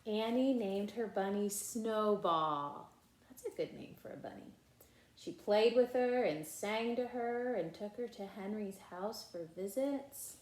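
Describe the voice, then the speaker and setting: A woman storyteller reads a picture book for young children at an unhurried pace. Her voice sits at a medium pitch, and she draws out words with expressive emphasis, dropping in casual asides. The room around her is noticeably echoey. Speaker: read-aloud storyteller.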